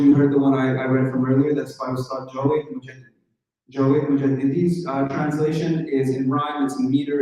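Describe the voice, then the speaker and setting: A man's voice: medium pitch, mellow, far from the mic. A man lecturing in answer to a question, laying out organized, numbered points. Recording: echoey hall, off-mic.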